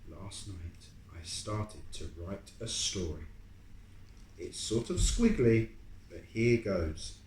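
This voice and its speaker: Parent reading to child, animated storytime, slow pace, coaxing questions, echoey room, faint background noise. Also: deep voice. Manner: expressive, dramatic, coaxing. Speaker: parent reading a picture book to a young child.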